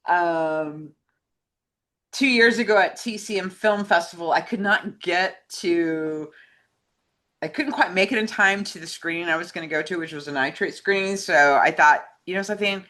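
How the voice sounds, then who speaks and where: A woman's voice: fairly low pitch, normal speed, sharp tone. An American woman chatting casually as co-host and reading out questions, with an enthusiastic manner.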